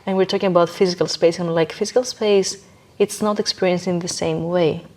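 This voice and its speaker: A woman's voice: on the low side, fairly even intonation, a little fast, a little mellow. A woman being interviewed as a podcast guest, talking conversationally and emphatically, repeating phrases for stress. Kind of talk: conversational interview, sharing views on life.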